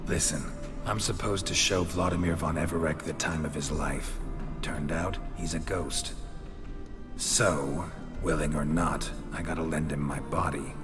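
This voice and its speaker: An actor playing a gruff, weary, dry-witted adventurer in a video game, talking in terse, deadpan, clipped sentences. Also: male voice, very deep voice, a little slow, over music. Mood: matter-of-fact.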